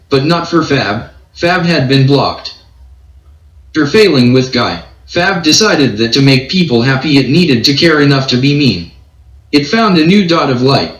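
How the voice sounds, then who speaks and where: A man's voice: mid-range voice, fairly bright tone. Synthetic male story narrator, roomy echo. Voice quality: synthetic read-aloud voice. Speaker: text-to-speech story reader.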